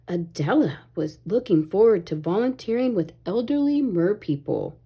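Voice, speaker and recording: A woman reading a children's story aloud in an expressive, animated way, as if to young listeners. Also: mid-range voice, normal speed, husky. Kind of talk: expressive read-aloud narration, pausing to ask children questions.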